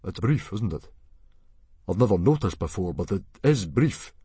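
A man playing a blustering Viking chief in a storybook, booming, pompous and emphatic. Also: very animated, normal speed, mellow, breathy edge, no echo, full character voice. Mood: pompous, decisive.

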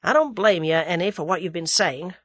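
A woman voicing an impulsive, blustering young American man who talks loudly in slang. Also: on the low side, brisk, dry recording. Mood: subdued. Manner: loud, slangy, excitable.

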